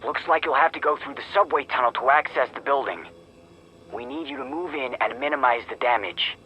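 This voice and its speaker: An actor playing a brisk, encouraging mission dispatcher briefing an agent over the radio in a video game. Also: male voice, mid-range voice, animated, lo-fi sound. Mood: businesslike.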